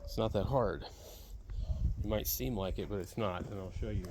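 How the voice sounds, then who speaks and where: A man's voice: on the low side, moderate pace. A man giving a casual, plainspoken, rambling hands-on demonstration as a radio hobbyist while setting up gear outdoors. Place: outdoors in a backyard. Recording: noisy outdoor recording, uneven mic distance.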